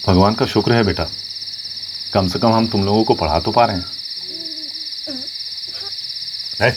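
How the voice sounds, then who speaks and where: A man's voice: on the low side, brisk, heavy background noise, natural voice. A man acting as a plain, stern working-class village father in a TV crime drama. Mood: grateful.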